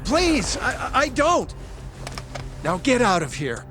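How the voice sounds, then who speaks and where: High-voiced, nervous scientist. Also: male voice, huge pitch swings, slow, music in the background, heavily acted. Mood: flustered, pleading. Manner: stammering, anxious. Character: nervous, flustered male research scientist. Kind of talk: dramatic video game dialogue.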